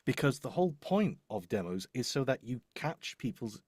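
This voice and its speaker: A man's voice: medium pitch, big pitch swings, moderate pace, a little mellow, dry recording, studio quality. A man chatting casually and explaining his work, hesitant and rambling as he thinks aloud. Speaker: voice-over demo producer.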